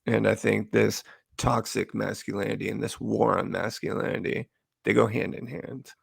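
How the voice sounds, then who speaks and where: Gravelly, husky-voiced man co-hosting. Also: on the low side, fairly even intonation, moderate pace, dry recording, studio quality. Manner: agreeable, lots of "yeah" and "for sure" backchannels. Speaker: podcast co-host. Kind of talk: casual earnest discussion.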